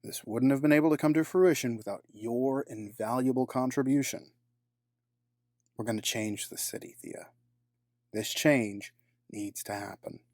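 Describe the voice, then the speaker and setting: A man's voice: mid-range voice, a little mellow, dry recording, lightly acted. Man playing a dry, close-sounding, scheming villain. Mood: resolute, menacing. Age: middle-aged. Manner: formal, measured, sardonic, superior. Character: cold, scheming, menacing middle-aged businessman villain, grieving father. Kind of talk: audio drama acting.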